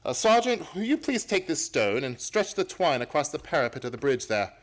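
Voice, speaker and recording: An actor playing a brisk, self-assured gentleman detective in an old-time radio play, theatrical and precise in his formal explanations. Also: fairly high pitch, sing-song. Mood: brisk, commanding.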